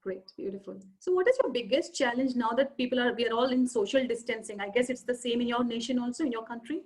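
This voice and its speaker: A woman's voice: a little high, fast, a little mellow. A woman hosting an interview over a video call, affirming often and summing up her guest's points. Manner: frequent short affirmations, sums up guest's points. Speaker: interview host. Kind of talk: friendly interview questions.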